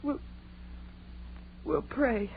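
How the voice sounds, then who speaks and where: An actress playing a frightened, pleading young woman in an old-time radio horror drama, anxious and imploring. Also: drawn-out words. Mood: fearful praying. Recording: tinny, noisy vintage radio recording.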